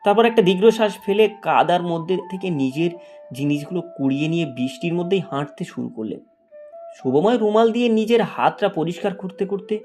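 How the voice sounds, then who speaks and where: A man's voice: fairly high pitch, darkish tone. A man narrating a cartoon moral story in a dramatic, scripted storytelling style.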